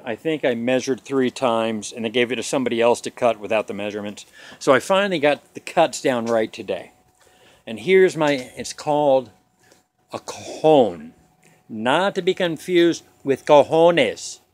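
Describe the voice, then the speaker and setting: A man's voice: mid-range voice, expressive, normal speed. A man in his forties, talking in a jokey, rambling, self-deprecating way while filming himself on a phone in a suburban front yard. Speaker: vlogger. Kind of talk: casual rambling vlog. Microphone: phone camera at arm's length.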